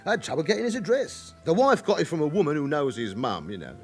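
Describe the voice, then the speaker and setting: A chatty, shady club owner in a radio crime drama. His voice is high for a man and swings widely in pitch, with a teasing, ingratiating manner. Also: huge pitch swings, fast talker, soft background music. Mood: probing. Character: chatty, slyly genial male London club owner with criminal ties. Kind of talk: naturalistic radio drama acting.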